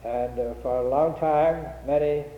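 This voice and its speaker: Man, preacher, head cold, halting humble delivery, phone-like lo-fi sound, roomy hall echo. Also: fairly high pitch. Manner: halting, humble, hesitant restarts. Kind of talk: old-fashioned unscripted sermon. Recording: tinny lo-fi recording in an echoey hall.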